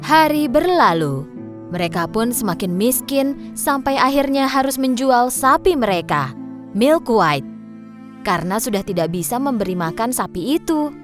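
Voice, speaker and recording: Children's fairy-tale narrator: female, lively swooping intonation, fairly high, faint music behind. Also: moderate pace.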